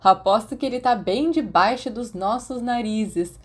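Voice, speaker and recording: A woman's voice: a little high, unhurried, slightly rough, pitched above the performer's own voice. A woman plays a popular teenage boy wizard in an audiobook. He is a smug prankster who talks in a teasing, self-assured way. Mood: amused. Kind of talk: fan fiction audiobook dialogue.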